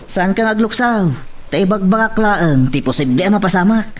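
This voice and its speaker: A man's voice: sits high, lively intonation, normal speed. A man with a Filipino accent acting a needling drinking buddy in a radio drama. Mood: teasing, needling. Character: needling male drinking buddy stirring up suspicion.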